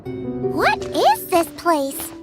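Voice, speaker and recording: A woman voicing a small, childlike fairy companion in a video game, with a squeaky, perky, curious way of talking. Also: huge pitch swings, very slow, music in the background, exaggerated voice. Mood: curious, puzzled. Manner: perky, exclamatory.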